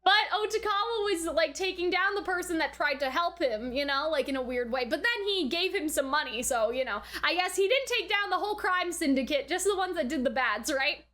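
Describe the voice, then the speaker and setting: A woman's voice: very high voice, animated, fairly quick, hardly any pauses, pushed and bright. A woman hosting a reaction video, giving casual, speculative commentary in a chatty, informal way that often checks in with tag questions.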